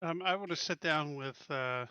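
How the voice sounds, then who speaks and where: A man's voice: a little high, normal speed, sharp tone, smooth, dry recording, muffled recording. A man playing a tabletop game, chatty and rambling. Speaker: player. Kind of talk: casual tabletop play.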